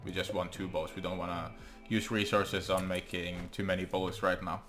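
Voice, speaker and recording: A young man with a Norwegian accent, English not his first language, casually talking through his gameplay and thinking aloud with frequent ums and pauses. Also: deep voice, flat delivery, a bit bright, soft background music. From Norway. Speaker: gaming channel host. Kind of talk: let's play commentary.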